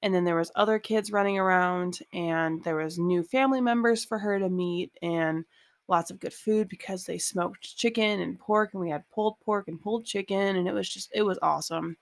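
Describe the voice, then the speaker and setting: A woman's voice: on the low side, normal speed, no echo. A young woman chatting casually as a podcast co-host. She is playful and argumentative, laughs often and swears freely. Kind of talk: casual chat.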